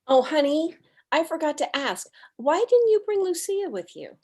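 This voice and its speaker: A woman plays a doting mother reading from a comedy script. Her voice sits very high as she fusses sweetly over her grown son. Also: big pitch swings, moderate pace, darkish tone. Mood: fussing, caring. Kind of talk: table read of a comedy script.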